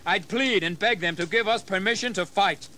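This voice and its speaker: A fiery young rebel commander from colonial days in an audio drama. His voice is high and forceful, declaiming with passion over faint noise. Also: moderate pace. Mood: fiery, rousing. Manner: impassioned, declamatory. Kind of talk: dramatized audio history acting.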